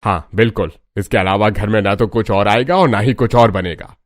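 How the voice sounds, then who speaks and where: A man's voice: fairly low pitch, normal speed, no room sound, clean studio sound, lightly acted. An actor playing a stern, stubborn old father-in-law in a cartoon family drama. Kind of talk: cartoon voice acting.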